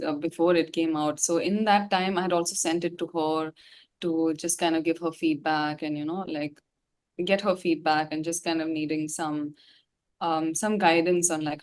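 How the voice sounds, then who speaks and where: A young adult woman, speaking casually and reflectively as an interview guest, with informal, slangy asides. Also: on the low side. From India.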